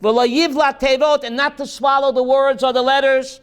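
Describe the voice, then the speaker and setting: A rabbi teaching a class, reading text slowly and then explaining it in while quizzing his students. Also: extremely high pitch, flat delivery. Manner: reads Hebrew text aloud slowly, then explains in English, quizzing listeners.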